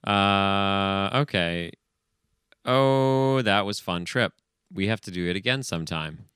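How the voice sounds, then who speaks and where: A man co-hosting a comedy podcast, chatting casually and reading from a script in a bemused, halting, distractible way. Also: deep voice, animated, a bit bright, smooth, no room sound, studio quality. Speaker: podcast co-host.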